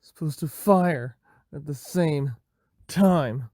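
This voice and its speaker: A man's voice: fairly high pitch, slow, dark tone, no echo, clean studio sound. A young man playing an anxious, obsessively lovesick teenage boy, stammering and repeating himself in a motion comic drama. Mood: frantic, distraught.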